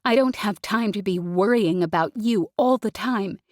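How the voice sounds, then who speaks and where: A woman's voice: on the high side, animated, measured pace, darkish tone, husky, dry recording, clean studio sound, pitched above the performer's own voice. A woman voicing a sobbing, furious young woman. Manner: angry, sobbing, swearing. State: crying.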